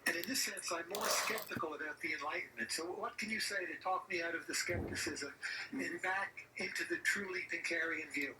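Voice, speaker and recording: A man's voice: on the high side, a little fast. A man hosting a conversation, posing a long, thoughtful question. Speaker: host. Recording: echoey room from far off, loud noise.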